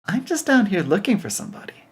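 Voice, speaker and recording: A man's voice: high voice, wildly swooping pitch, quick pace, gravelly, husky, voice pushed up, heavily acted. A man voicing a confident, sarcastic young woman demon slayer in an audio story, with teasing delivery.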